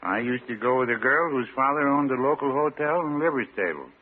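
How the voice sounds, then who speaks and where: An actor playing a droll, mild small-town American druggist in a classic radio comedy, talking in a dry, folksy way. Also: male voice, mid-range voice, lively intonation, a little fast, close to an everyday voice. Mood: deadpan. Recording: tinny, noisy old radio recording.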